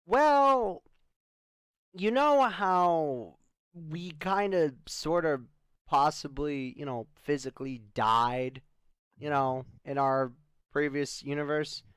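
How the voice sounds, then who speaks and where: A man's voice: a little high, slow pace, a bit bright, smooth, no room sound, studio quality. A man playing a wry spaceship adventurer in loose, improvised sci-fi roleplay, joking and stretching out his lead-ins. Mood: wry, explaining.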